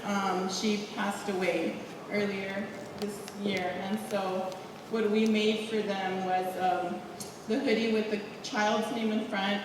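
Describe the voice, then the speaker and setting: A woman speaking informally as a parent booster, thanking people and calling out names in a school theater. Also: medium pitch. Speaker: drama club booster parent. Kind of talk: informal thank-you speech and name calling. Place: school theater auditorium with audience. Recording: echoey theater hall, distant mic, crowd noise.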